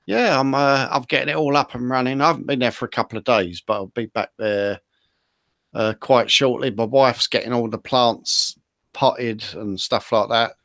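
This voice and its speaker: A man's voice: medium pitch, normal speed, fairly bright tone, no echo. Man chatting, dry close sound. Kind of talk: casual rambling chat.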